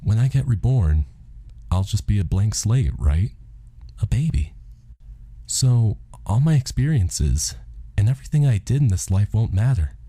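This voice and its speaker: A man's voice: fairly low pitch, expressive, unhurried, mellow, breathy edge, voice pushed up. A man voicing a bewildered, newly dead middle-aged man in an audiobook, anxious, hesitant and full of questions. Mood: questioning. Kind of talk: audiobook character dialogue.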